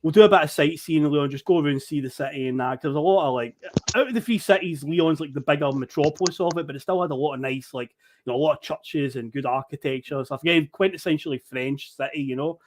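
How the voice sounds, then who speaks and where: A Scottish man spins a long anecdote on a podcast, animated and quick to swear. His voice sits a bit above the usual male pitch. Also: a little hiss or hum. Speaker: podcast guest. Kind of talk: casual storytelling chat.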